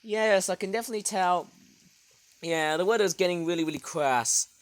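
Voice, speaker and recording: Hoarse rambling garden vlogger, dry sound. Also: medium pitch, moderate pace, no room sound. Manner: rambling, filler-heavy, excitable. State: hoarse, sore throat. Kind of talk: casual garden tour narration. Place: outdoor backyard garden. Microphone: tablet filming.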